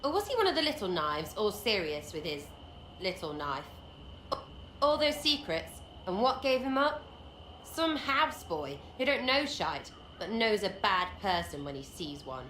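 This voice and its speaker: A woman's voice: mid-range voice, big pitch swings, a little slow, bright, forceful tone. A woman playing a cheeky young English commoner rogue in a video game, slangy, blunt, mocking and rambling. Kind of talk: video game dialogue acting. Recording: roomy echo, faint background noise.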